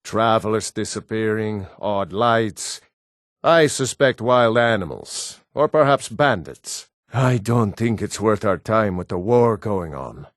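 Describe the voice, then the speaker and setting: A man voicing an earnest Nord townsman in a fantasy video game, speaking scripted lines in a measured, formal way. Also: lowish voice, lively intonation, dry recording, clean studio sound. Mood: calm, formal.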